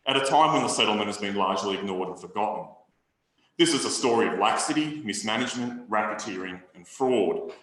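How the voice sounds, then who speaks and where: A man giving a history talk to an audience, in a measured academic way with frequent restarts. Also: fairly low pitch, sharp tone. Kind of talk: lecture with audience Q&A. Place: hall with audience. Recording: very echoey room recording.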